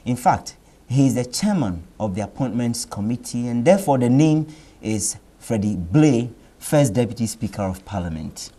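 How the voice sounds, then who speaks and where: A man's voice: medium pitch, very animated, moderate pace, dark tone. A Ghanaian man hosting a TV interview, asking questions in a hesitant way with fillers and restarts. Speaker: TV interview host. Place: TV studio.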